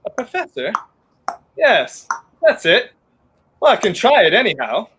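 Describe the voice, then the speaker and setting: A man's voice: high-pitched, wildly swooping pitch, a little slow, fairly bright tone, full character voice. Noisy recording, man acting polite guarded fugitive, swinging intonation, old-time radio drama. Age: young adult. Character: polite, guarded male fugitive passing as a jobseeker. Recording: noisy home recording with clicks.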